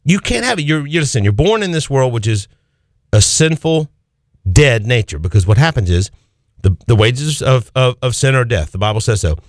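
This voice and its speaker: A male pastor preaching with a lively voice that leaps up and drops low as he drives each point home. The sound is dry and close, with no room echo. His pitch sits in the middle for a man. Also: normal speed. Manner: preaching with animated, swinging emphasis. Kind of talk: sermon.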